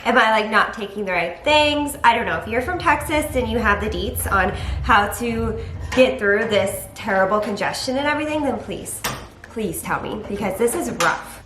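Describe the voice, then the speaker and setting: A woman's voice: a little high, animated, moderate pace, a bit bright, echoey room. A young woman, a mother, chatting casually to camera at home, sounding congested from allergies and rambling with lots of "like". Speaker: vlogger, mother. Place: home, indoors.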